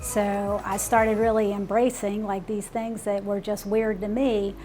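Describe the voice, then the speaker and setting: Woman, 40s, school principal; earnest, reflective interview voice, mid pitch, music bed underneath. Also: level tone, measured pace, dark tone, low-quality recording. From United States. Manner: earnest, uses "like" and "you know" often.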